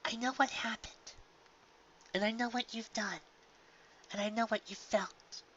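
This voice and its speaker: A woman voicing a gentle, sympathetic pony apparition in an audio story, with a soft, smooth, hushed and sorrowful delivery. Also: on the high side, fairly even intonation, unhurried, muffled recording, slight background noise. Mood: tender, sorrowful.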